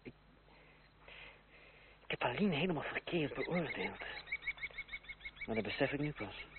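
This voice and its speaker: A man's voice: mid-range voice, animated, unhurried, close to an everyday voice. An actor playing an earnest, brooding young nobleman in a radio play, restrained and a little hesitant in his questioning. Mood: subdued, brooding. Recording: noisy, narrow-band old radio recording.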